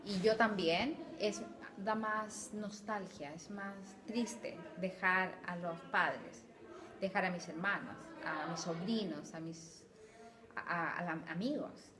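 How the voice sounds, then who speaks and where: A woman's voice: lowish voice, slow, crowd noise. A middle-aged woman from Chile, a Spanish teacher, answering interview questions thoughtfully with hesitant repeats.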